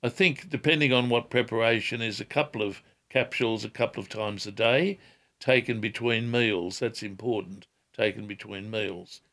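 An older man answering callers on a radio health show, measured and careful, often repeating words and hedging before giving advice. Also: lowish voice, a bit bright.

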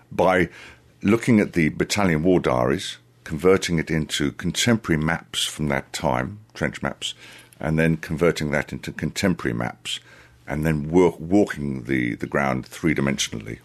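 A man answering interview questions as a tour guide, speaking in a deliberate, halting, conversational way. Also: extremely low pitch. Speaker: tour guide, radio guest.

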